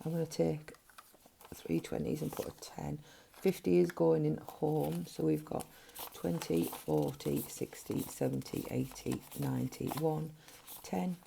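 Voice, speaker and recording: Gruff low-voiced woman chatting to camera. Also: steady tone, measured pace, dark tone, slight background noise, poor audio. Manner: chatty, counting notes under her breath. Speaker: budgeting vlogger. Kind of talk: casual cash-stuffing vlog narration. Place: home, at a table. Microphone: overhead camera framing hands.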